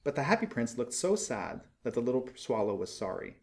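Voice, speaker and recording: Guy reading a story out loud, mid voice that swings up and down a lot, measured storyteller delivery. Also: mid-range voice, sing-song, vocal fry. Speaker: audiobook reader. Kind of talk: scripted storybook narration.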